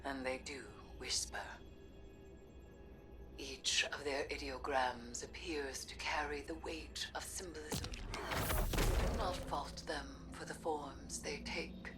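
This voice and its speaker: An actress playing a solemn, cryptic witch in a video game, speaking deliberately, like an incantation, often near a whisper. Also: low voice, fairly even intonation, far from the mic, over loud music. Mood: mysterious, reverent. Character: cryptic, solemn witch versed in dark magic. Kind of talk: dramatic video game narration.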